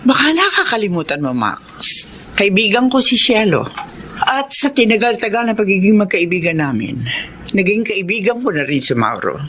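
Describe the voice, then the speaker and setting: Radio drama reproving, loyal professional woman, lively intonation, light background noise. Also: medium pitch, moderate pace. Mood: firm, reproving. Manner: firm, reproving. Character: principled, firm woman psychologist and loyal friend.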